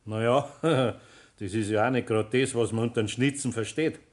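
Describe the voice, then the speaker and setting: An actor playing a patient but firm older master carpenter with a Bavarian accent, speaking in a homely, deliberate way in a 1960s radio play. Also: male voice, medium pitch, steady tone, natural voice. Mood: patient, instructing.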